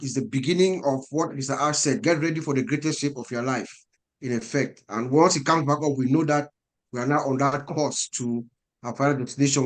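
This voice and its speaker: A man hosting an online round table, upbeat as he thanks speakers and hands over the floor. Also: medium pitch. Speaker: host.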